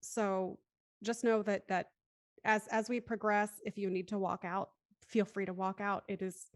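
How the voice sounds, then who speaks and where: A woman's voice: mid-range voice, flat delivery, measured pace, no echo, clean studio sound. A woman hosting a live streamed play reading, speaking in articulate, polite and rather formal phrases. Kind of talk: scripted recap and live show hosting.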